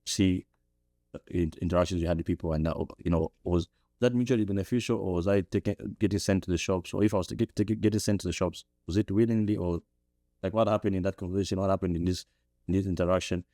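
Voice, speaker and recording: A man's voice: quick pace, dry recording, studio quality. A young man from Zambia, a podcast guest, reflecting thoughtfully with frequent restarts. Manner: thoughtful, many restarts, rises in pitch when animated. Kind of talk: reflective interview talk. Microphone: studio podcast mic.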